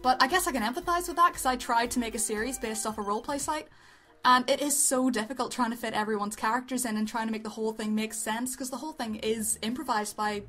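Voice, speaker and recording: A woman's voice: a little high, fast talker, fairly bright tone. A woman co-hosting a review show, chatting casually and informally. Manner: informal, conversational. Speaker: co-host of an amateur voice acting review show. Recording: background music under voice.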